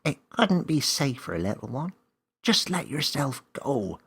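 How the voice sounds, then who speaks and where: A man's voice: mid-range voice, sing-song, vocal fry, a bit bright, breathy edge, voice pushed up, exaggerated voice. A man voicing a small magical male companion, encouraging and slightly impatient, in a children's story. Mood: reassuring. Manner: brisk, coaxing, reassuring.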